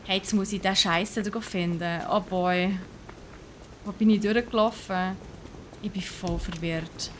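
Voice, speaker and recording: A woman's voice: mid-range voice, steady tone, unhurried, a little hiss or hum. A young Swiss woman with a Swiss German accent, live-streaming a game with chatty, self-mocking commentary and English exclamations thrown in. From Switzerland.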